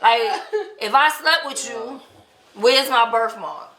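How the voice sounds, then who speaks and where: A woman's voice: high-pitched, expressive, slow, a crowd in the background. A woman telling a personal story in casual conversation, acting out quoted dialogue as she goes. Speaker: vlog host telling relationship stories. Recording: echoey untreated room.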